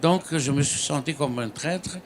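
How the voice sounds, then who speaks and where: A man's voice: a little high, a little hiss or hum. A man who is a native Greek speaker, a writer on a conference panel who talks in a reflective way and often asks for agreement. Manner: slow, reflective, asks "n'est-ce pas".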